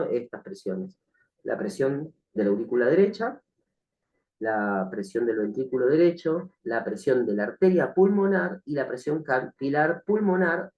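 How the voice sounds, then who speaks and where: A man teaching a recorded nursing class, slightly hoarse from the flu, speaking hesitantly with many fillers and frequent check-ins with the listener. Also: medium pitch, highs cut off. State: has a cough from the flu. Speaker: nursing instructor. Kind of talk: recorded online lecture.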